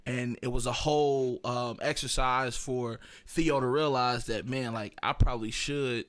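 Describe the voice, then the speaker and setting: A man hosting a casual podcast, chatting and telling stories in a slangy, animated way. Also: medium pitch, unhurried. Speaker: podcast host; teacher. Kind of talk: casual podcast chat and storytelling.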